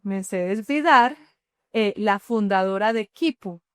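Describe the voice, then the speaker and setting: A woman's voice: mid-range voice, takes it slowly, fairly bright tone, smooth, no echo, clean studio sound. A woman podcast host, introducing guests at length in a chatty way and affirming them as they talk. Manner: chatty, affirming listener, long framing introductions. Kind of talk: warm podcast hosting and interviewing.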